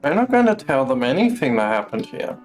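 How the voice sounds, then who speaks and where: A man's voice: medium pitch, big pitch swings, fairly quick, soft background music. A man giving casual, grumbling, sometimes sweary commentary while streaming a video game.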